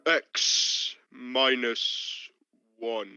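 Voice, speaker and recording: A man performs a solemn announcer from a 1950s radio science fiction show. His delivery is very slow and portentous, with a bright edge. The sound is slightly muffled, with faint music underneath. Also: mid-range voice, steady tone, smooth, full character voice. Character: solemn old-time radio sci-fi announcer.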